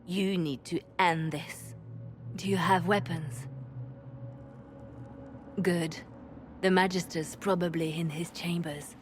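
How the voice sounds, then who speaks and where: Casting: a hardened fantasy game spymaster, deep female voice, curt, cold and cutting, long past mercy. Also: steady tone, slow, long pauses, faint music behind. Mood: cold, commanding. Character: hardened, embittered spymaster woman, survivor of torture. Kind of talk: dramatic video game dialogue.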